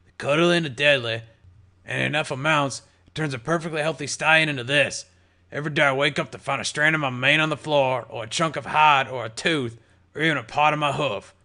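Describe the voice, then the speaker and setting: A man's voice: mid-range voice, pushed and bright. A man voicing a jovial old male ghoul pony bartender, chummy and calling everyone mate. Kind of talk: audiobook character voice.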